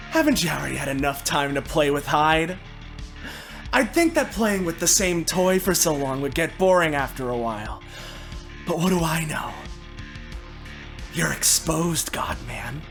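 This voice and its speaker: A sneering, menacing cyborg woman warrior played by a man in a tabletop game. The delivery is mocking and taunting, with a streak of madness, over clear music. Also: fairly high pitch, sing-song, a little slow, long pauses, fairly bright tone, exaggerated voice. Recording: music behind the voice.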